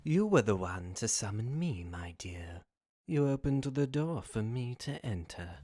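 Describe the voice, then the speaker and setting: A woman voicing a seductive, taunting devil in an audio drama, with a theatrical, commanding and drawn-out delivery. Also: a bass voice, big pitch swings, dark tone, heavily acted. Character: seductive, taunting devil granting dark bargains. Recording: hum and noise, home recording.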